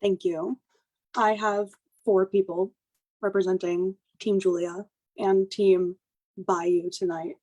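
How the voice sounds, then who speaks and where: Slow-speaking woman introducing her team. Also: medium pitch, level tone, low-quality recording. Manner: slow, warm, enthusiastic introductions. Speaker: team captain introducing players.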